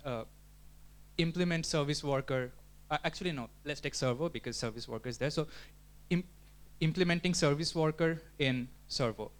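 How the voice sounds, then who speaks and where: A man giving an explanatory talk at a community meetup, thinking aloud with frequent restarts and filler words. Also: fairly high pitch. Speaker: community presenter. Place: meetup room.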